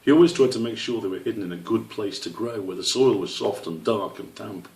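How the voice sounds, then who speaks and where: Low, creaky man reading a children's story. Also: brisk, a little mellow. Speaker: author reading his own book. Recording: roomy echo, distant microphone.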